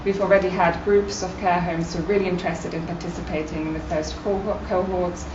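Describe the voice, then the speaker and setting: A woman's voice: on the low side, steady tone, a little fast. A woman from Germany, a native German speaker, giving an informal, appreciative impromptu speech at a forum. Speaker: guest speaker, care project manager and doctoral researcher. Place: meeting room at a university campus. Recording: echoey room, distant mic, muffled, loud noise.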